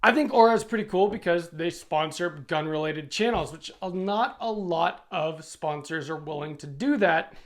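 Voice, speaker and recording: A middle-aged American man with a noticeably high voice for a male speaker. He talks in a plain-spoken, conversational way, like a video host casually walking viewers through a product. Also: normal speed, few pauses. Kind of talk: casual product review talking to camera.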